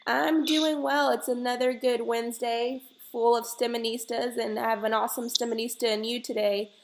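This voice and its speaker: A woman's voice: a little high, fairly even intonation, moderate pace, heavy background noise. A woman hosting a podcast interview, talking in an enthusiastic, chatty way with many fillers. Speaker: podcast host.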